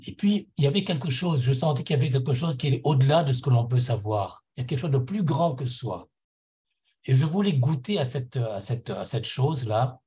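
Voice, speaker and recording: A man's voice: medium pitch, animated, fairly quick, dark tone. A middle-aged man from Réunion island giving a personal spiritual testimony at a conference, earnest and reflective, often searching for words and repeating himself as he goes. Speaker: conference panel speaker.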